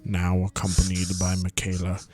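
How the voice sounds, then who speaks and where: A man's voice: low-pitched, completely flat delivery, normal speed, heavy background noise, close to an everyday voice. A man voicing a sneering older male wizard teacher while reading game narration, drawling out mocking titles.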